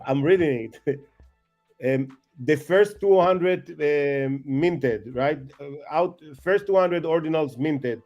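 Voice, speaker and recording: A man chatting as a guest, excitable and rambling, self-mocking, with non-native English phrasing. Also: fairly high pitch, slow, muffled recording, soft background music. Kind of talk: casual chat.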